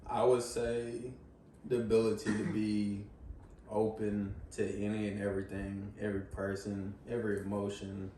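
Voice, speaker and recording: A young man with a lowish, quite flat voice muses thoughtfully, stringing ideas together in long runs. He sounds distant in a roomy living room. A faint hiss sits under him. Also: moderate pace. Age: young adult. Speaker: guest in a discussion. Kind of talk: casual reflective chat. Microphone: far camera.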